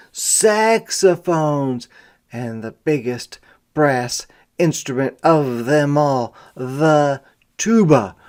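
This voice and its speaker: A man reading a picture book aloud to young children as a teacher, phrasing deliberately and pausing to explain words simply. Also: on the high side. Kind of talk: interactive children's read-aloud with explanatory asides.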